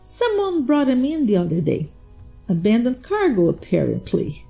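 A cool, knowing smuggler woman, put on by a man reading the story. She talks in a high, mellow voice with a breezy, matter-of-fact ease, as if every deal were routine. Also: huge pitch swings, normal speed, creaky, soft background music, heavily acted, poor audio. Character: sly smuggler woman, adult, cool and businesslike.

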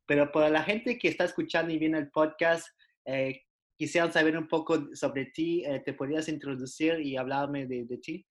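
Hesitant male podcast host, higher voice. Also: fairly even intonation, moderate pace. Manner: hesitant, many filler sounds, laughs along. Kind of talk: podcast interview hosting. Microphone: video call.

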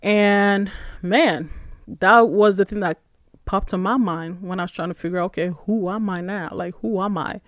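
A woman in her forties hosts a faith podcast, speaking at a middling pitch. She talks conversationally and with emphasis, often posing questions to herself and the listener. The sound is dry, with very little room around it. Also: moderate pace, no echo. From born in New York, raised in Nigeria. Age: 40s. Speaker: podcast host, church spiritual formation director. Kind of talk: casual devotional podcast talk.

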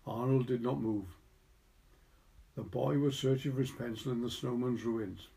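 A Welsh man narrates a literary story. His voice is mellow and relaxed, sitting at an ordinary male pitch. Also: normal speed, creaky. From Wales. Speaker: story reader.

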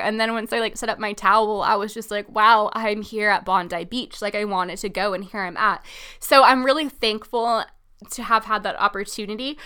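A woman's voice: medium pitch, bright, forceful tone, smooth. A twenty-five-year-old woman hosting a podcast, chatting casually and upbeat, with lots of "like" and "um", answering listener questions. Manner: chatty, upbeat, lots of "like" and "um", laughs between thoughts. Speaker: podcast host.

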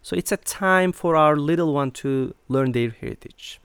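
A middle-aged man from Turkey, speaking as an interview guest in a halting way with many fillers. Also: on the high side, a bit bright, smooth, no room sound. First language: Turkish. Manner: hesitant, many fillers and restarts. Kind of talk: conversational interview.

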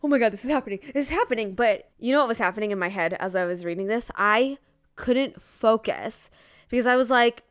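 A woman's voice: fairly high pitch, a little fast. A woman co-hosting a podcast in casual chat, gushing and emphatic, with lots of informal filler words. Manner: frequent "like" filler, informal youthful phrasing. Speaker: podcast co-host. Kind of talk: casual chat about a romance novel.

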